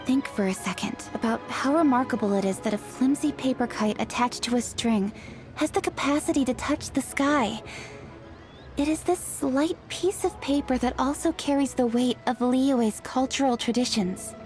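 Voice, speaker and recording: An actress playing a composed, thoughtful young noblewoman in an anime-style game, speaking in a measured, formal way. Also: sits high, a little mellow, faint music behind, lightly acted. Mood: earnest.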